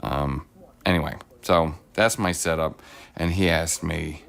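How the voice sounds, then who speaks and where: Streamer host, middle-aged man, gravelly low voice a bit rough from illness, rambling upbeat chat, phone mic at home. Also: fairly even intonation, a little slow. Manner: rambling, upbeat, self-deprecating. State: voice deepened while getting over an illness. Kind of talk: casual livestream chat while painting miniatures. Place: home, at a table. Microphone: phone on a clamp arm.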